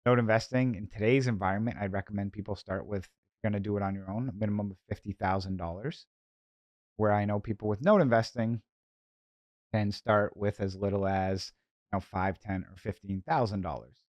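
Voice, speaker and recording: A man with a Boston accent speaks in a fairly low register as a solo podcast host. His delivery is informal and conversational, given to asides and questions he puts to himself. The sound is close and dry, with little room in it. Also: steady tone, brisk, darkish tone, dry recording.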